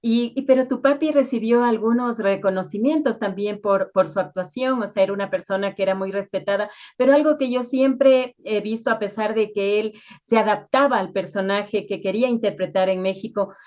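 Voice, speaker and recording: Slightly muffled lo-fi audio; female interviewer, Quito accent, medium pitch, conversational questioning. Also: brisk, hardly any pauses, a little mellow. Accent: Ecuadorian, Quito. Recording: dull, lo-fi sound.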